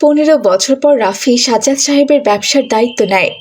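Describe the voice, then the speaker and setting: A woman with a husky voice narrating a scripted children's story. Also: high-pitched, quick pace.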